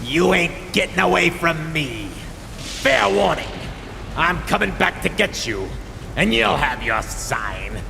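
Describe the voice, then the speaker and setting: Threatening country Devil, heavy rasping creak, theatrical live horror tale, reverberant noisy hall. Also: male voice, fairly high pitch, sing-song, takes it slowly, heavily acted. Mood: menacing. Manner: theatrical backwoods drawl, threatening. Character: menacing folksy Devil come to fetch a sinner. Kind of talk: live horror storytelling performance.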